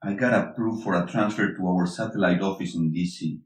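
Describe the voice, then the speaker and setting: A man's voice: low-pitched, steady tone, fairly quick, natural voice. A man acting a bitter, angry middle-aged father and husband in a stage-play reading, confrontational and emphatic, slipping between English and Spanish. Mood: pleading, subdued. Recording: echoey room, distant mic.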